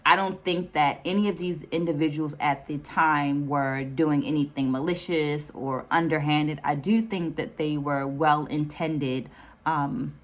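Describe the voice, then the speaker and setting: A woman speaking reflectively and deliberately as an educator hosting an online video, repeating phrases for emphasis. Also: low voice, steady tone. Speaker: educator and video host presenting book takeaways.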